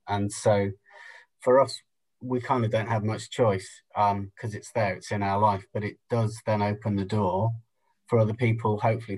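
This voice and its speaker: A man speaking as a podcast guest in reflective conversation, thoughtful, measured and halting. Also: lowish voice, darkish tone, poor audio.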